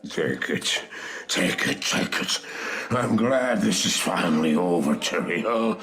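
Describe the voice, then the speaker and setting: An actor playing a guilt-ridden, frightened old man in a game cinematic, pleading in a halting, theatrical way. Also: deep voice, animated, fairly bright tone, heavily acted. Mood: weary, desperate. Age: older. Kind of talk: dramatic game cinematic acting. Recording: music behind the voice.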